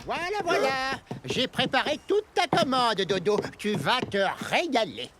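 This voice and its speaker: High-pitched fussy ship's cook. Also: male voice, very animated, measured pace, background noise, exaggerated voice. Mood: eager. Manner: fussy, sputtering when contradicted. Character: flustered, eager-to-serve ship's cook. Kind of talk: children's cartoon voice acting.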